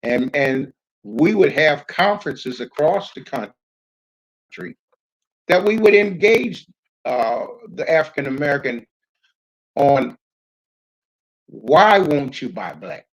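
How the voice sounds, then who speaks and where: An older man giving an unscripted public address, reminiscing in a halting, deliberate way with frequent hesitations. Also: fairly high pitch, big pitch swings, poor audio. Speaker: community speaker recounting his experience as a pioneer.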